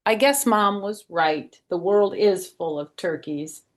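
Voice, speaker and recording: A woman's voice: mid-range voice, slow pace, close to an everyday voice. A woman voicing an earnest, hopeful young schoolboy aardvark from a children's storybook. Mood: glad, rallying.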